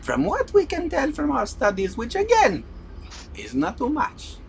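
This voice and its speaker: A man putting on a dithering scholar, groping for words between long pauses. His voice leaps all over the scale and grates with fry. A faint hum sits behind him. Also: extremely high pitch, huge pitch swings, voice pushed up, heavily acted. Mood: distracted. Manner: halting, full of long pauses and "how you say". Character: vague wandering male scholar NPC, Monsieur Legume. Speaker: player voicing a character.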